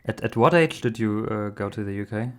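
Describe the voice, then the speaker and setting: A man's voice: on the low side, brisk. A man from Germany, hosting an interview podcast and asking questions. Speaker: podcast host.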